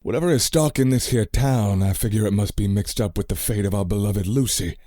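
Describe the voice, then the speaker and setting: An actor playing a bold, loyal cowboy gentleman in a radio play, with a courtly, florid, folksy manner. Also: lowish voice, fairly quick, no room sound, studio quality, natural voice. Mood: resolute.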